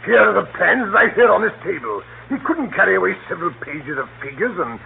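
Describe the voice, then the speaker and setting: A tinny vintage radio recording with slight hiss. a blustering, flustered British official, his voice gravelly and creaky as he sputters in theatrical 1930s style. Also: high voice, very animated, fairly quick, heavily acted. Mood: incredulous, flustered. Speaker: radio drama actor. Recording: scratchy, tinny 1938 radio broadcast.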